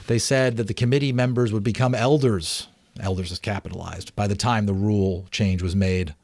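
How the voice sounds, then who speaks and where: A man reading a novel aloud as a dramatic audiobook, expressive, with offhand asides. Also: fairly low pitch, normal speed, dry recording. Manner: expressive storytelling. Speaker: amateur audiobook reader.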